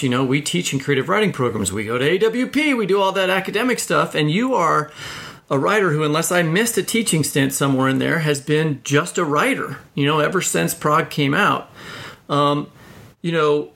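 A man with a fairly high voice and a gravelly creak conducts a casual interview. His questions are informal and wind around before they land. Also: a bit bright. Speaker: podcast host, interviewer.

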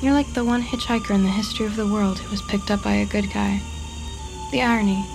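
A woman's voice: mid-range voice, steady tone, fast, gravelly, over music, natural voice. A woman playing an awkward, sardonic, self-deprecating human sidekick in an audio drama, rambling and easily flustered. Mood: awkward, nervy. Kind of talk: naturalistic audio drama acting.

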